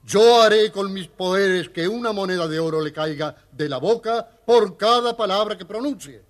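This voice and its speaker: A man's voice: high-pitched, moderate pace, slight background noise, close to an everyday voice. A man playing a small fairy-tale gnome with magic powers, declamatory, in a children's audio story. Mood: solemn, proclaiming.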